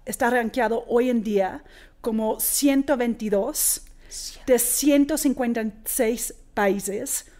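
A woman in her forties who grew up in Australia talks as an interview guest in a language she learned later. She speaks earnestly, with frequent fillers and restarts, and her voice sits a little high. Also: darkish tone. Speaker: guest, impact investor. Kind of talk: interview conversation.

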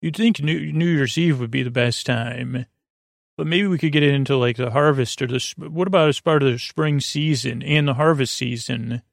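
Male podcast host, gravelly and dry-sounding, wandering haltingly through sleep-aid monologue. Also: medium pitch, no room sound. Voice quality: creaky, gravelly. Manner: meandering, halting, often trailing off mid-thought. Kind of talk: rambling bedtime monologue to help listeners sleep.

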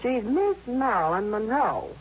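An American woman delivers scripted showbiz news as a vintage radio reporter. Her voice is husky and highly animated. The recording is dull and old. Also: a little slow. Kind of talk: scripted 1950s radio promotion.

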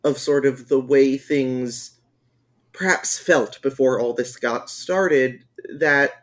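A man's voice: medium pitch, slow pace, fairly bright tone. A man on a review panel talking casually, reflective and searching for words.

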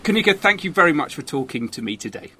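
A man's voice: a little high, sing-song, quick pace, pushed and bright, slight background noise. A man hosting a podcast, introducing and interviewing with emphatic, enthusiastic, well-formed phrasing.

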